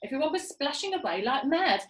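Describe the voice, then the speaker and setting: A woman reading a children's story aloud with expressive, dramatic emphasis, recorded in an echoey room. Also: high-pitched, brisk. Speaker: storybook reader, teacher. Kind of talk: animated read-aloud narration of a children's story.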